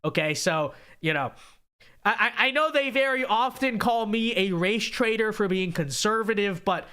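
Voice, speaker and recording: A young man hosting a live-stream political commentary show, talking fast and animated with frequent stammered restarts, his voice congested. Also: high-pitched, smooth. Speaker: host.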